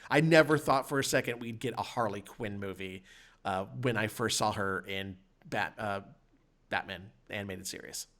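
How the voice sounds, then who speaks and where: A man in his thirties co-hosting a podcast, talking casually and giving opinions in a halting, conversational way. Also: fairly low pitch, a bit bright. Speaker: podcast co-host and games journalist.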